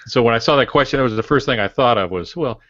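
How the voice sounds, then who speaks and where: A man's voice: mid-range voice, fairly quick, fairly bright tone. An older man co-hosting a podcast in casual talk, rambling, wandering off topic and correcting himself. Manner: rambling, digressing, self-correcting. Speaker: podcast co-host. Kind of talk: casual panel discussion. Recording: roomy echo, dull muffled audio.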